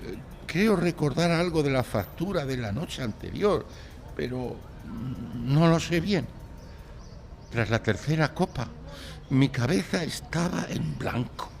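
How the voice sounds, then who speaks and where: A man's voice: on the high side, lively intonation, measured pace, gravelly, slight background noise. An actor playing a timid, anguished young man in a live audio drama, speaking with hesitant, sighing delivery. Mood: nervous, hesitant. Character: timid, anguished young male client telling his misfortune.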